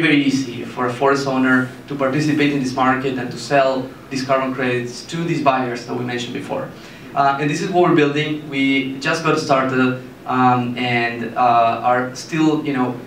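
A man from Argentina in his thirties, a startup founder giving a presentation with a handheld mic, speaking haltingly with many fillers and restarts. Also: medium pitch, a bit bright. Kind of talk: presentation to an audience. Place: meeting room with a projector screen, indoors. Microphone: handheld mic, filmed by a far camera. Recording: echoey room, mic far off, faint hiss.

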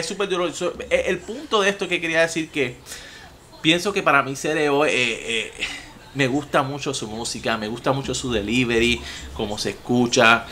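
A man's voice: a little high, slight background noise. A young man with a Puerto Rican accent hosting a casual music reaction vlog from his room at home, talking with big gestures and a lot of swearing. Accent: Puerto Rican Spanish. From Puerto Rico. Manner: animated, profane, gestures a lot while talking. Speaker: music reaction video host. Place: home room with TVs and gaming gear, indoors.

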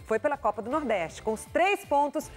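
A woman's voice: sits high, very animated, normal speed, faint music behind. A woman with a Brazilian accent presenting a sports show in a TV studio.

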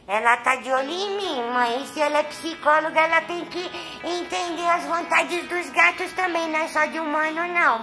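A woman's voice: high-pitched, bright, forceful tone, low-quality recording. A woman with a Brazilian accent voicing a chatty, nervous, affectionate young male house cat in a pet vlog. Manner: sweet, coy, chatty to viewers.

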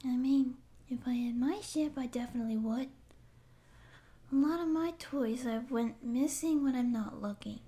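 A woman's voice: high voice, measured pace, long pauses, dark tone. A woman voicing a timid but eager young catgirl who wants to be a space pirate, girlish and sometimes whispery, with nervous bluster that slips into meek rambling, in an audio roleplay. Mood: anxious, lonely. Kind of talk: scripted ASMR audio roleplay.

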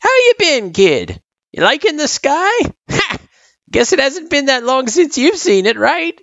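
An old male turtle monster in a fantasy audio story. The voice is pitched high, gravelly and wheezing, with swooping, teasing, folksy cheer. It sounds very close and dry. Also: big pitch swings, measured pace, a bit bright, no room sound, muffled recording, full character voice. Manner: folksy old-timer talk.

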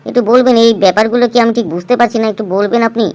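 A woman's voice: a little high, fairly even intonation, rapid-fire, darkish tone, close to an everyday voice. A woman playing a chatty, naive, cheeky clinic patient in a comic cartoon, wordy and colloquial. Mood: puzzled. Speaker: cartoon voice actor. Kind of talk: comic Bengali cartoon dialogue.

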